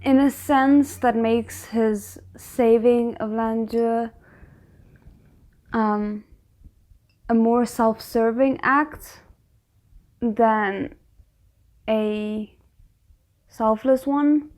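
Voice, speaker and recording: A woman with a fairly high voice hosts a casual reaction commentary. She thinks aloud, musing in fragments and picking her way through each thought. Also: fairly even intonation, extremely slow, long pauses, darkish tone. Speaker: reaction host commenting on a drama episode.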